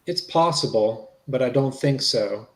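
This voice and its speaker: A man's voice: medium pitch, unhurried, lightly acted. A man playing a polite, reassuring police investigator in a conversational transcript reading, repeating himself as he goes. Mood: matter-of-fact. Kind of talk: dramatic reading of an interview transcript. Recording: echoey room.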